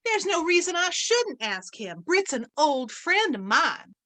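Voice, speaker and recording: A woman's voice: very high-pitched, big pitch swings, measured pace, fairly bright tone, heavily acted. A woman playing a competitive, defiant small-town housewife in an old-time radio western, emphatic and catty. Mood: accusing, defiant.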